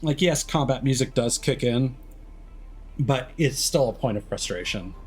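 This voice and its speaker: A man doing casual live commentary as a video game streamer, thinking aloud with hesitant pauses mid-sentence. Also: mid-range voice, animated, faint music behind.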